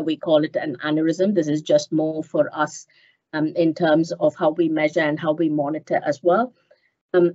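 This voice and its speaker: A woman with a cold and a slightly nasal voice, giving an online medical lecture in a measured way with many ums. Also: lowish voice, dark tone. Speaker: clinical geneticist giving a talk. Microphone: headset on a video call. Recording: muffled video call audio.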